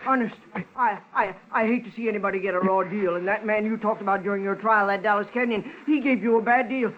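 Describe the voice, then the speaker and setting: A man's voice: sits high, steady tone, fast talker, close to an everyday voice. An actor in a 1950s radio drama playing a timid, desperate little man who stammers and pleads. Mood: nervous pleading. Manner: stammering, pleading, repeating himself. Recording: tinny, hissy 1950s radio recording.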